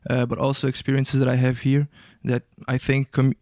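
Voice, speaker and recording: Smooth-voiced young man, hesitant and searching. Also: mid-range voice, monotone, normal speed, darkish tone, no room sound, studio quality. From Albania. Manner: hesitant, searching for words, many restarts. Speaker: guest musician. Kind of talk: casual interview answers.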